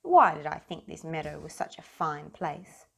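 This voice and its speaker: A woman voicing a young male locomotive in a storybook, eager, playful and quick to get cross, with childlike exclamations. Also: sits low, very animated, gravelly, a bit bright, breathy edge, heavily acted. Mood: frustrated.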